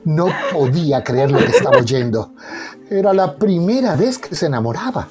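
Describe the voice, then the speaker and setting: Lively high-pitched male soap opera narrator. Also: normal speed, soft background music. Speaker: radio drama narrator. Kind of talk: dramatic scripted narration.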